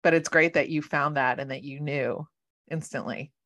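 A woman's voice: deep voice, fairly even intonation, moderate pace, a bit bright. A woman hosting an interview show, a matchmaker, encouraging and affirming toward her guest. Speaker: podcast host and matchmaker. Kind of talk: casual interview chat.

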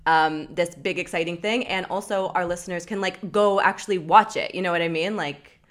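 Effusive woman co-host, slightly low voice. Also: brisk. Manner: effusive, informal. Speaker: podcast co-host, interviewer. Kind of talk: casual chat.

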